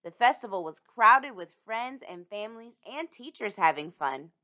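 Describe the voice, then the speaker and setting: A woman reading a children's picture book aloud in an expressive storytelling manner. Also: medium pitch, normal speed, muffled recording. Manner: animated, storytelling delivery for young children.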